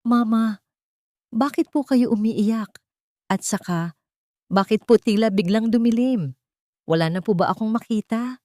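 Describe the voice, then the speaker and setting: An innocent small boy in an audio drama, put on by a woman narrator. He sounds frightened and plaintive, his questions pitched up, with dry close sound. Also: a little slow, long pauses, warm tone, no room sound, lightly acted. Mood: plaintive, confused.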